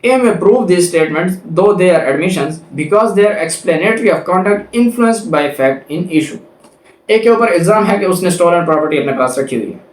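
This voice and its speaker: A man's voice: sits high, lively intonation, slow. A man with a Pakistani accent giving an explanatory law lecture, didactic, restating points and mixing in English legal terms. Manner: didactic, repeats and rephrases points; switches between Urdu and English legal terms. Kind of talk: explanatory lecture with examples, reading out legal text. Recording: echoey, roomy sound.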